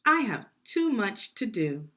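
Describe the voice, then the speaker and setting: A woman's voice: medium pitch, sing-song, slow pace, bright, forceful tone, voice pushed up, heavily acted. A woman voicing the earnest, hardworking youngest brother in a children's storybook, speaking in emphatic declarations. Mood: proud.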